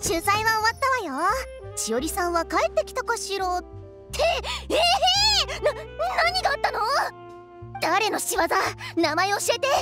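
Excitable high-voiced girl reporter. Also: moderate pace, over music. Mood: shocked, indignant. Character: excitable, indignant young woman newspaper reporter. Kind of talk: Japanese video game voice acting.

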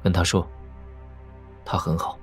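A man's voice: a little slow, faint music behind, lightly acted. A man playing a playful young prince in an audio drama, casually commanding and full of mock-polite banter. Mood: calm, commanding.

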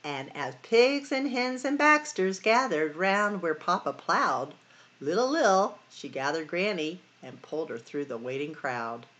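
Female storytime host, middle-aged. Unhurried, very lively intonation, dramatic sing-song delivery for young listeners. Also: lowish voice. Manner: sing-song, dramatic emphasis and drawn-out words. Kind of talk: expressive picture-book read-aloud for children.

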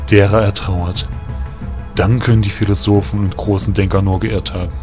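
A serene male faun spirit speaks in a lowish, measured voice, gently lecturing, as a man puts him on. He sounds a little distant from the mic. Clear music runs behind him. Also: level tone, close to an everyday voice.

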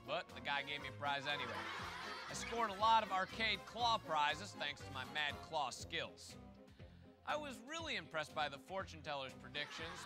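Animated high-pitched male game show host. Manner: animated, theatrical, jokey. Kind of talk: playful game show hosting and scripted storytelling. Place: large television studio stage. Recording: music behind the voice.

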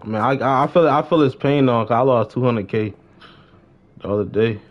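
A man's voice: medium pitch, normal speed. A young man chatting casually on a gaming livestream in slangy, profane street talk. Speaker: game livestreamer. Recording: dull lo-fi mic with noise.

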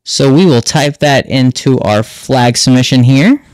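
A man's voice: medium pitch, a little slow, no room sound. A man hosting a tech tutorial, explaining step by step in a casual screen-narration style. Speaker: host of a tech tutorial channel.

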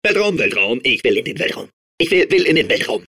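A man playing a manic, childlike robot sphere obsessed with outer space in a video game, babbling and repeating himself in a strained, husky voice. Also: medium pitch, fairly quick, exaggerated voice. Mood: manic excitement. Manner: excitable, babbling, repeating words compulsively. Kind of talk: video game character voice clips.

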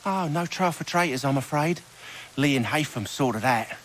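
An actor playing a gloating, mocking lowborn conspirator in a video game, with a sardonic, taunting manner. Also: male voice, animated, moderate pace, fairly bright tone, slight background noise. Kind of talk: video game cutscene acting.

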